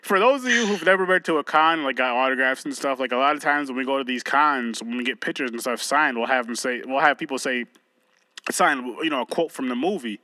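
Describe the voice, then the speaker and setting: A man's voice: medium pitch, a little fast, pushed and bright, dry recording. A man co-hosting a podcast, chatting loosely with a friend, joking and swearing as he goes. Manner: loose, joking, profane banter. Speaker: podcast co-host. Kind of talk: casual chat.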